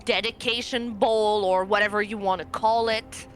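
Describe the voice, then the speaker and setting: A woman's voice: medium pitch, fairly even intonation, moderate pace, faint music behind. A woman talking casually as a player in a tabletop roleplaying game.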